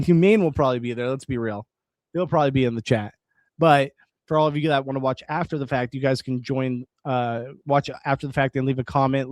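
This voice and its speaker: A man's voice: medium pitch, darkish tone, no room sound. Chatty male streamer, voice wearing thin. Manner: rambling, reads chat aloud, restarts words. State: voice going hoarse. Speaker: live stream host. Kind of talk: casual live stream chat.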